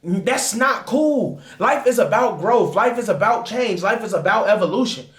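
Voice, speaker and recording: A man's voice: sits high, expressive, normal speed, fairly bright tone, echoey room. A man, talking casually and passionately as a guest, often asking "you know what I'm saying". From Dayton, Ohio, USA. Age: 20s. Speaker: guest, host's husband. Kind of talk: casual chat.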